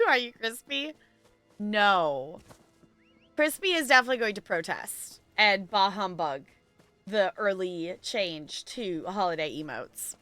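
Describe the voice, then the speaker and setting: A woman's voice: mid-range voice, sharp tone. A woman live-streaming, chatting casually with her viewers in a rambling, giggly way.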